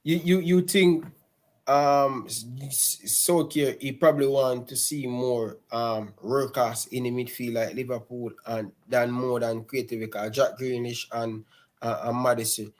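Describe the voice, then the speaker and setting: A man co-hosts a casual football talk. He trips over his openings, repeating words before the thought lands. His voice sits at an ordinary middle pitch for a man. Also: steady tone, a little slow. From Jamaica. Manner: repeats words often, stumbling starts. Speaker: co-host.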